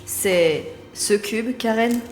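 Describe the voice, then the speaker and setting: Woman as a calm crew member, reverberant. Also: fairly high pitch, drawn-out words. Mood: calm, serious. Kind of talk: French sci-fi audio drama acting. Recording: echoey hall reverb, music behind.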